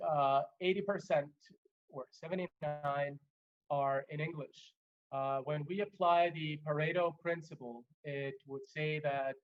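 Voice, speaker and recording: Male lecturer, German native, slightly high voice, measured with fillers, muffled webinar sound. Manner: measured, many fillers and restarts. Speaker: legal trainer. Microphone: video call.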